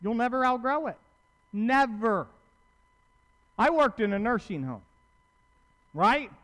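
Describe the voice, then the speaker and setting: A man preaches from a pulpit in a large auditorium, his voice pitched high and carrying long hall reverb. He talks to his listeners directly and conversationally, driving points home with repetition and rhetorical questions. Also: big pitch swings, slow pace, a bit bright. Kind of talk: sermon.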